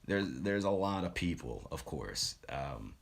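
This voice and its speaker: Deep voiced guy on a livestream, casual shout outs, kinda halting and rambly but earnest. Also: big pitch swings, creaky. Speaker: live streamer.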